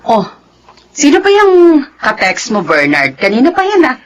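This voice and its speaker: A woman playing a chatty, excitable and jealous girlfriend in a Filipino radio drama. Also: high voice, unhurried, lo-fi sound, slight background noise, full character voice. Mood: suspicious.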